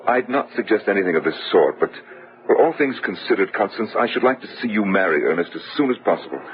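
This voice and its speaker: An actor playing a sensible, courteous gentleman friend in an old-fashioned gothic radio drama, formal and reassuring. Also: on the low side, expressive, fast, lightly acted. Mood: earnest, persuasive. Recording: muffled radio drama recording.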